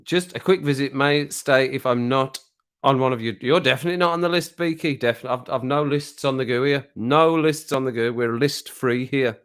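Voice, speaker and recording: A man's voice: medium pitch, fairly quick, a bit bright, clean tone. A man hosting a casual live stream from home over a drink, greeting viewers in the chat and laughing at his own jokes. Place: at home, living alone.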